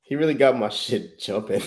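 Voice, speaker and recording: A man from Trinidad, hosting a livestream and making a relaxed, friendly sales call to a property owner, full of slang and quick restarts. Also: medium pitch. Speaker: real estate investor, livestream host. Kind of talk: casual livestream chat and sales phone call.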